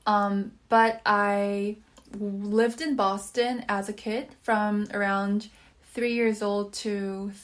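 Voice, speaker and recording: A woman's voice: medium pitch, little pitch movement, takes it slowly. A woman in her twenties from South Korea, co-hosting a casual podcast and keeping the talk going with friendly prompts. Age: 20s. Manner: friendly, prompts with short agreements. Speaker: podcast co-host.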